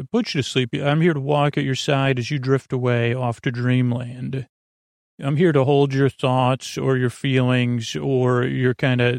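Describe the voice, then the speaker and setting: A male podcast host delivers a rambling bedtime monologue in a voice full of creak and fry. He halts, doubles back and drifts into tangents, trailing off mid-thought. The sound is very dry, with no room around it. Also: mid-range voice, dry recording.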